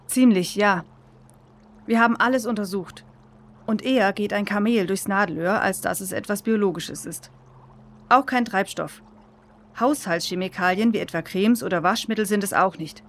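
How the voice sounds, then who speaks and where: A woman's voice: medium pitch, moderate pace, a little hiss or hum, lightly acted. A woman as a confident, methodical researcher. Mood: sure, matter-of-fact. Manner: assured, methodical, explanatory. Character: confident female researcher analysing samples. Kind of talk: children's audio drama acting.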